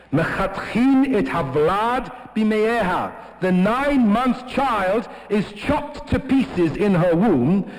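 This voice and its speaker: Rabbi preaching in an echoing hall. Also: male voice, a little mellow. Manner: emphatic, sweeps up high for stress, quotes Hebrew texts. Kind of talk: sermon. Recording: echoey hall, long reverb.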